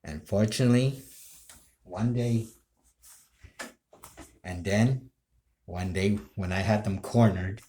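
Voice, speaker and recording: A man telling an improvised story casually to camera, in a halting, rambling way that often breaks off. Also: lowish voice, dark tone, slight background noise. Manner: halting, rambling, self-interrupting. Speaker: amateur role-play video creator.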